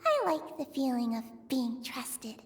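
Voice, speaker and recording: A woman playing a poised, softly teasing lady in a video game, with a gentle, knowing manner. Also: high-pitched, very animated, a little slow, big-room sound. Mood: sly, soothing.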